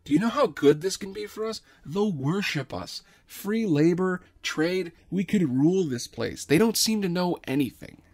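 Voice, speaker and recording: An eager schemer from a space story, acted by a male reader. He sounds excited and greedy, pushing his plans on the crew. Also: sits high, moderate pace, few pauses, pitched above the performer's own voice.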